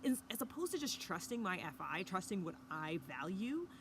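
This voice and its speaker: A woman talking casually to camera in a hushed early-morning voice, recording outdoors by a fire pit with a mountain view. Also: lowish voice, big pitch swings, normal speed. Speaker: vlogger. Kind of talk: casual reflective talk to camera. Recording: outdoor ambience with background noise.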